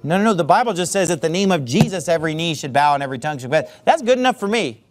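A man preaching with a high, lively voice that leaps around as he drives each point home. He is sarcastic and emphatic, and he bursts out loud on single words. He sounds like a middle-aged pastor delivering a sermon in a church. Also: racing.

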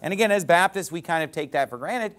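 Man, preacher. Emphatic sermon delivery, rising and falling pitch, slightly higher voice. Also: expressive, quick pace, fairly bright tone. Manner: emphatic preaching with rhetorical questions. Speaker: pastor.

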